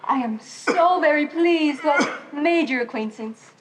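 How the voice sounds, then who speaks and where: A young woman playing a stately, gracious princess in a high school stage play, with measured, formal delivery. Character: Princess Alexandra, stately, gracious royal lady. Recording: faint background noise.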